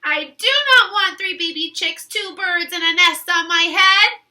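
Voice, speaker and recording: A woman's voice: very high voice, steady tone, a little slow, bright, forceful tone, heavily acted. A woman voicing an anxious, fretful male elephant in a storybook reading, with a whiny, wailing way of complaining. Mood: angry.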